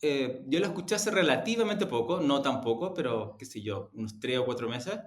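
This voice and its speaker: A man giving measured, reflective answers as an interviewed executive. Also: medium pitch, lively intonation. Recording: roomy, echoing room.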